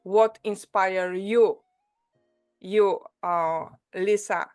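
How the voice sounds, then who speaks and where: A woman hosting a podcast, welcoming her guest and asking questions in a gentle, unhurried way. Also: medium pitch, fairly even intonation, clear, resonant tone, soft background music. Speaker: podcast host. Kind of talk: interview hosting.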